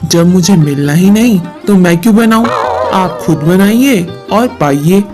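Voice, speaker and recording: Woman as a low-voiced, naive male devotee. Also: lively intonation, unhurried. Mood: innocent complaint. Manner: naive, earnest, openly complaining to gods. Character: simple, innocent, plain-spoken male village devotee. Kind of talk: animated devotional moral story dialogue. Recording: music behind the voice.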